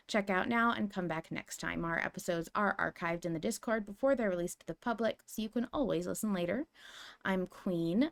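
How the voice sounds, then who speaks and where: A woman hosting a podcast in a casual, conversational style. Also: medium pitch. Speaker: podcast host.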